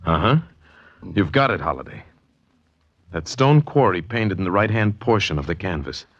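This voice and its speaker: A man's voice: low voice, expressive, moderate pace, fairly bright tone. A man playing an anxious, haunted painter who doubts his sanity, speaking earnestly and hesitantly in a 1940s radio drama. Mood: uneasy, insistent. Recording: dull vintage 1940s radio recording.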